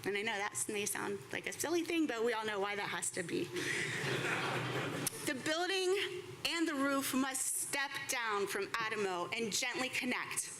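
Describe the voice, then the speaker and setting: A woman's voice: on the high side, expressive, moderate pace. A woman giving a prepared presentation as a team representative, with an enthusiastic, conversational manner and informal asides. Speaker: baseball team representative presenting at a press conference. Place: indoor press conference room before an audience. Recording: live room with clear background noise.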